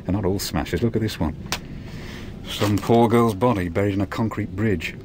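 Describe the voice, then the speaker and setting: A burly van driver played by a male actor in a radio play, speaking in a low voice over clear background noise. He is blunt and jovial, needles people and tells grisly tales with relish. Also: normal speed, close to an everyday voice. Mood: wry, teasing. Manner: teasing, blunt, relishes gruesome storytelling. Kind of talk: radio drama acting. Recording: noisy old radio drama recording.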